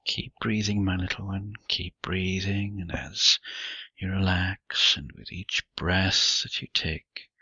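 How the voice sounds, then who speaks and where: A man with a husky, gravelly voice giving intimate erotic hypnosis guidance in a slow, deliberate, breathy and often whispered delivery, recorded close and dry with dull sound. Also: low voice, steady tone, bright, forceful tone, no room sound.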